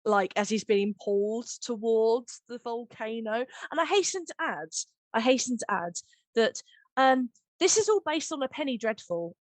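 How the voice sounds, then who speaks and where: A woman hosting a casual behind-the-scenes chat, gushing and giggly as she retells things in an animated way. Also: a little high, moderate pace, dry recording, poor audio. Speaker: podcast host and audio drama producer.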